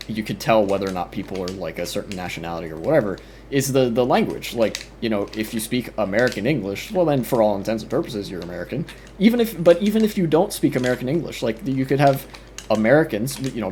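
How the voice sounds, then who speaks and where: A man's voice: lowish voice, darkish tone, a little hiss or hum. A man commenting casually on a debate clip as a streamer, rambling with many fillers and restarts.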